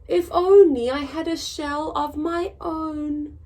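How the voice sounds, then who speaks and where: A woman's voice: high-pitched, steady tone, takes it slowly, mellow, voice pushed up. A woman voicing an eager, wistful little boy slug from a picture book, wide-eyed and exclaiming. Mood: wistful, hopeful. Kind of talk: children's picture book character voice.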